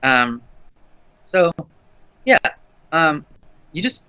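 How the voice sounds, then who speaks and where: A man co-hosting a livestream, chatting casually with lots of fillers and restarts about a naturally low voice. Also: big pitch swings, drawn-out words, clean tone, no room sound, phone-quality sound. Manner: rambling, with many fillers and restarts. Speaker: livestream co-host.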